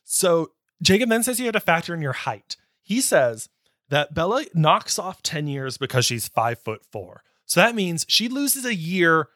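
Twenties man, animated and playful, dry close sound, casual joking co-host. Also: on the high side, normal speed, no echo. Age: 20s. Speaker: podcast co-host. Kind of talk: casual podcast chat.

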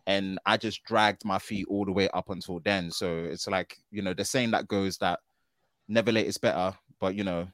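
A man from the United Kingdom, a tech video creator guesting on a podcast, talking in an animated, slangy way and often ending phrases with "man.". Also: low voice, moderate pace, no room sound, clean studio sound. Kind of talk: casual podcast conversation.

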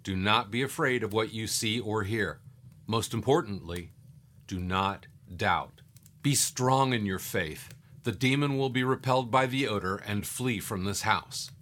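Mysterious guide played by a man, lower register, calm, reassuring, instructive, audio drama. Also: measured pace, slight background noise. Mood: calm, assured.

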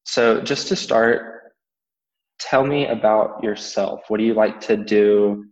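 Male interviewer, relaxed, reverberant and slightly dull sound. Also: lowish voice, slow. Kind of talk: casual interview questions.

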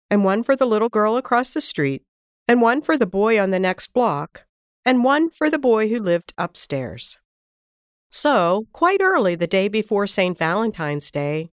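A woman reading a classic children's story aloud in an expressive, warm storytelling manner on a close studio mic. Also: mid-range voice, no echo.

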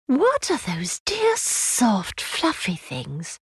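An inquisitive little girl from a children's story, put on by a woman narrator. Her voice is high and childlike, with very lively intonation, recorded dry and close. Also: drawn-out words, husky, no room sound, exaggerated voice. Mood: curious. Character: curious, frightened-sounding little storybook girl.

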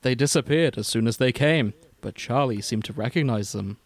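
A young man co-hosting a podcast, reading fan fiction aloud with deadpan, mocking asides. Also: medium pitch, normal speed, dry recording. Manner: wry, deadpan, dips into mocking quotes. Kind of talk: casual chat and comic dramatic reading.

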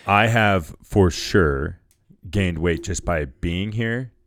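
A man's voice: low-pitched, takes it slowly, fairly bright tone, no room sound. A man co-hosting a podcast in an easygoing way, laughing often and answering briefly. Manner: easygoing, laughs often, short agreeing replies. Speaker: podcast co-host. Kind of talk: casual podcast chat.

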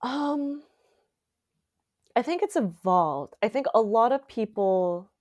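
A woman's voice: on the high side, animated, takes it slowly, darkish tone. A young woman from Canada, a playwright, talking casually in an interview with many filler words. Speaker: playwright guest. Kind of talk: conversational interview.

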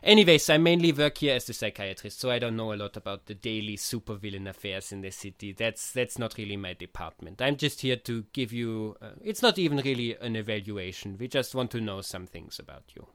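A man playing a polite male psychiatrist superhero with a floating skull, speaking with an Austrian accent, earnest and halting with many hesitations. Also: lowish voice, lively intonation, a bit bright, no echo.

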